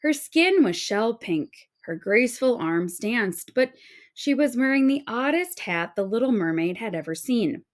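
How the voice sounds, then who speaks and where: A woman narrating a children's storybook aloud in an expressive storytelling manner. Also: on the high side, measured pace. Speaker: storybook reader.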